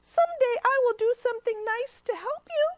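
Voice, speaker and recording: A woman voicing a tiny frightened male mouse in a storybook, pleading in a squeaky voice. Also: moderate pace, dry recording.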